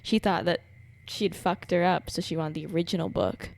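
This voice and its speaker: A woman's voice: lowish voice, steady tone, normal speed, creaky. A woman streaming a video game with casual, teasing live reactions and music playing behind her. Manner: playful, teasing.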